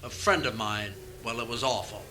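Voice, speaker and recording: A man's voice: mid-range voice, normal speed, sharp tone, a little hiss or hum, natural voice, rough recording. A man playing a distracted, rambling middle-aged police chief in a comic radio play. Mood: distracted, musing. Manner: rambling, absent-minded, dramatic. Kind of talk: comic radio theater acting. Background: music.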